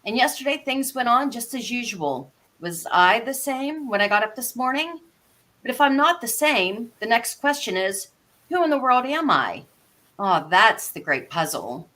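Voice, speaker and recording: A bright, slightly high storybook girl played by a woman, curious and polite. She talks to herself with wonder, as a well-mannered child who likes to reason things out. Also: very animated, moderate pace. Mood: puzzled. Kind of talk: storybook live read.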